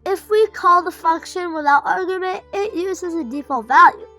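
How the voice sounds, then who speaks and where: High-voiced woman teaching code. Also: normal speed, sharp tone, soft background music. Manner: reads examples aloud with fillers and self-corrections. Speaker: coding tutor. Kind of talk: reading through a programming tutorial.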